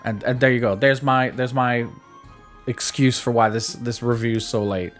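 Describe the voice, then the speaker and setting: A man's voice: mid-range voice, fairly even intonation, normal speed, faint music behind. A man hosting a game review, reading narration with casual, informal asides and the odd repeated word. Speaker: video game reviewer and channel host.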